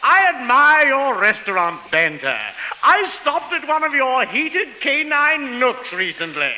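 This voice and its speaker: An actor playing a pompous, excitable English professor of slang with a British accent and flowery, exclamatory speech in a 1930s radio comedy. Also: male voice, very high voice, unhurried, full character voice. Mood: effusive, indignant. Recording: noisy old 1930s radio recording.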